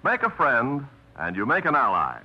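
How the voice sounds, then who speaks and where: A man's voice: medium pitch, huge pitch swings, normal speed, husky. A man announcing a 1950s radio drama in an earnest, declamatory style, on a dull old radio recording.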